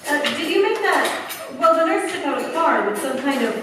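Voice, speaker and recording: A woman's voice: sits high, sing-song, brisk. A woman playing an eager, questioning young female agent in a staged radio play. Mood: curious, amused. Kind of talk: staged 1940s-style radio play acting. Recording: echoey hall from far off, faint noise.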